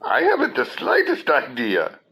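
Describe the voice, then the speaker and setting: A woman's voice: low-pitched, wildly swooping pitch, measured pace, creaky, fairly bright tone, heavily acted. A woman playing an eccentric, touchy tea-party host in a radio play, theatrical and argumentative. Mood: teasing. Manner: theatrical, argumentative, singing off in bursts.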